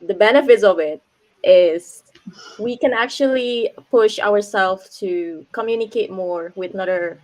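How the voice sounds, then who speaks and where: A young Indonesian woman talks through her student life in English with a clear Indonesian accent. She hesitates a lot, filling gaps with um and like. It sounds like a casual video call. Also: medium pitch, a little slow, a little mellow, low-quality recording. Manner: hesitant, many ums and likes. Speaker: international student guest. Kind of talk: casual online interview answers.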